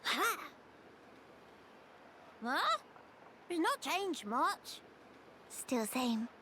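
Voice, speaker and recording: Cute lil game critter, super high squeaky voice, bouncy intonation, broken grammar. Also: female voice, sing-song, drawn-out words, bright, forceful tone, slight background noise, exaggerated voice. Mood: chirpy, matter-of-fact. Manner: broken grammar, third-person phrasing. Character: cute little cheerful furry creature, childlike. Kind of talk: video game cutscene acting.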